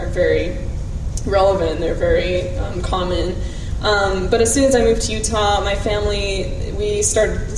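A woman's voice: on the low side, background noise. Young woman chatting from far off in an echoey hall. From Japan. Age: young adult. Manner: chatty, many fillers and restarts, laughs often. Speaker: speaker at a gathering. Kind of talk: casual personal talk.

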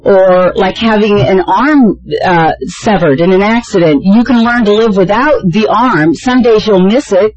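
Woman, medium pitch, reassuring Q&A answer, lo-fi recording. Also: unhurried, few pauses, darkish tone. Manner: reassuring, thoughtful, with fillers. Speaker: panelist answering an audience question. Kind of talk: conversational answer in a Q&A.

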